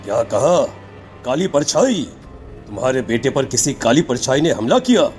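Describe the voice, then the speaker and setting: A performer voicing a stern, accusing village occultist in a cartoon horror story, grave and deliberate. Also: mid-range voice, sing-song, darkish tone, soft background music, close to an everyday voice. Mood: suspicious.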